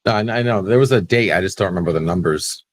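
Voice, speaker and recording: A man's voice: deep voice, fast. A man on a livestream panel, chatting casually and joking with the others. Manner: jokey, laughs often. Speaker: panel guest. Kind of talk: casual chat.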